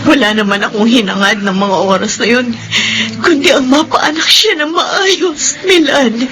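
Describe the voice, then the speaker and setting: A woman's voice: high voice, a little slow, a bit bright, faint music behind. A woman acting an earnest, anxious young birth attendant in a Filipino radio drama, pleading urgently and tearfully.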